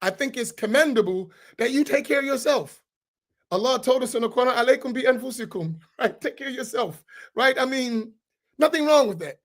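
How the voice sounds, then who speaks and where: A man's voice: very high-pitched, animated, brisk, a bit bright. A middle-aged man from the United States, an imam speaking on a roundtable panel in an emphatic, preacherly way, often checking in with the audience with rhetorical questions.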